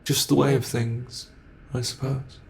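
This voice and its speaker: A man playing a sadistic monster in an ASMR horror roleplay, taunting captive prey with slow, savoring menace and a gravelly, creaky voice. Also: medium pitch, flat delivery, a little mellow. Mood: gloating. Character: sadistic male monster gloating over captive human prey.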